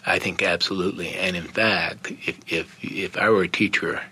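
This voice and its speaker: Older man, very low husky voice, bright tone, earnest and reflective. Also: steady tone, moderate pace. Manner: sincere, reflective, with hesitant restarts. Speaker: panel guest. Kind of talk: earnest discussion of faith.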